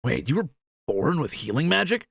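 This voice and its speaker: A man's voice: on the high side, sing-song, moderate pace, vocal fry, slightly rough, no room sound, clean studio sound, heavily acted. A man playing a greedy, cheeky young thief in a fantasy audio roleplay, teasing and flippant. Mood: playful.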